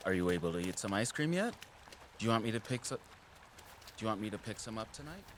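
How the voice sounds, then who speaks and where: Actor playing a caring dad in an audio drama, soft and low, everyday talk. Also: male voice, very animated, brisk, slight background noise. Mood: tender. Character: gentle, caring father, a woman's love interest. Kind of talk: naturalistic audio drama dialogue.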